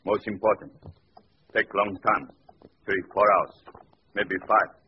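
Villain's servant, clipped broken speech, stern, muffled radio sound, faint hiss. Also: male voice, fairly low pitch, steady tone, slow. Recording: dull old radio recording with hiss.

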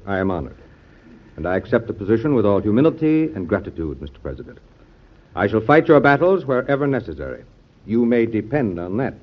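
A man's voice: fairly low pitch, sing-song, a little fast. A man acting a dutiful, earnest statesman and adviser, formal and oratorical, in an old-time radio drama. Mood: grave, humble. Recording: dull lo-fi old radio recording.

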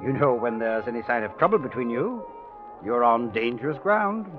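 Man acting stuffy polite older gent in old radio drama, suspicious, lots of pitch movement, muffled old recording. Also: lively intonation, normal speed, soft background music, lightly acted. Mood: suspicious, stern. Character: formal, suspicious older gentleman watching over a couple.

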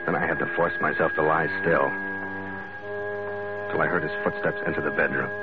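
A man's voice: rumbling low, flat delivery, fairly quick. An actor playing a tough, wry sea captain who narrates his adventures tersely and vividly in 1940s radio drama style. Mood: tense, hushed. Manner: hardboiled, vivid storytelling, terse. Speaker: lead actor and first-person narrator. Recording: dull old radio recording, loud music behind.

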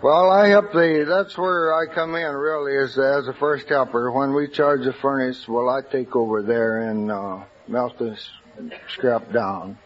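Halting man contestant, old radio. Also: mid-range voice, steady tone. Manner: halting, plain, searches for words. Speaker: contestant, steel worker. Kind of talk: unscripted quiz show interview. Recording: dull old radio broadcast with loud audience and noise. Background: studio audience laughter.

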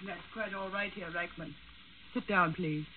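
An actress playing a poised aristocratic countess, cool, guarded and courteous, in a 1940s radio drama. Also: female voice, fairly low pitch, steady tone, unhurried, slightly rough, narrow, tinny audio. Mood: politely cool. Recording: band-limited old 1940s radio recording.

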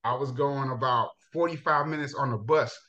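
A man's voice: mid-range voice, normal speed. Jamaican-born man telling stories, lo-fi sound. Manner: relaxed storytelling, frequent laughs and restarts. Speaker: guest, high school football coach. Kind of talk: casual interview. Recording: dull lo-fi sound.

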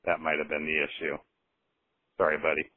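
Deep-voiced man on a tinny phone line. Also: monotone, fairly quick. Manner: teasing, chuckling. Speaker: caller.

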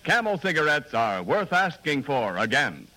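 A man's voice: a little high, very animated, moderate pace. A man reading a scripted 1940s radio advertisement as an announcer, emphatic and declamatory.

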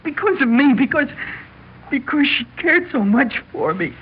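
A man's voice: very high voice, big pitch swings, normal speed. An actor playing a frightened, pleading teenage boy among crooks in a 1960s radio drama, stammering and emotional. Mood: desperate, remorseful. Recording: muffled, hissy old radio recording.

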